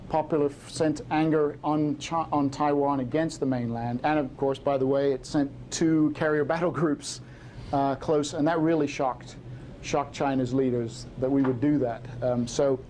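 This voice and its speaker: A middle-aged man from Hong Kong lecturing and answering audience questions, halting, with many fillers and restarts. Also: a little high, a little mellow, slight background noise.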